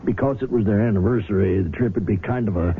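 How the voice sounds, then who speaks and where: Man acting anxious middle-aged dad, deepish voice, halting delivery, radio drama, thin narrow-band sound. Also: natural voice. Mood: worried. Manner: hesitant, halting. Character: worried small-town father, reluctant, steady family man. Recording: narrow noisy vintage radio broadcast.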